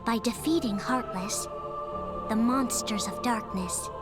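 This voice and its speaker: A woman narrating a game's legend as a solemn, gentle storyteller with a measured, scripted delivery. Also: a little high, over loud music.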